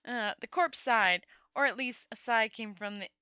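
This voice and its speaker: A woman reads a fantasy story aloud in an informal way. Her voice is high and bright with a close, dry sound. She trips on words and backs up to fix them. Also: moderate pace, smooth, no room sound. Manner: informal, stumbles and corrects herself. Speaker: story reader. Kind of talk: reading a fantasy novel aloud.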